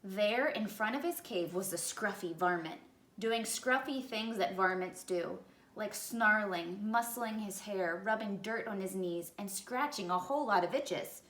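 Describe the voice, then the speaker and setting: A woman's voice: mid-range voice, a little slow, a bit bright. A woman reading a children's story aloud expressively, as a storyteller to young listeners. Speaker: picture-book reader, educator. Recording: echoey room.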